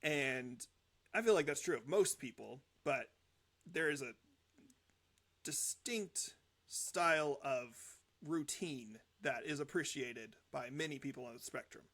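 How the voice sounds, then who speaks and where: A man's voice: on the high side, measured pace, a bit bright. A young man hosting a music reaction show, talking casually in an earnest, reflective, rambling way. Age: young adult.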